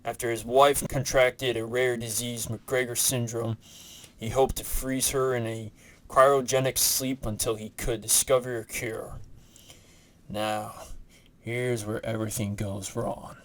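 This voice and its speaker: A man's voice: mid-range voice, little pitch movement, measured pace, a bit bright, close to an everyday voice. A man playing a stern, brooding adult superhero guardian in an audio drama, speaking tersely and seriously. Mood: grave.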